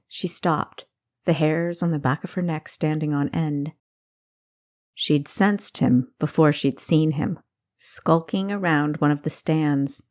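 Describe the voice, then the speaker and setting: Female audiobook narrator for fantasy fiction, slightly lower register, dramatic storytelling delivery. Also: a little mellow.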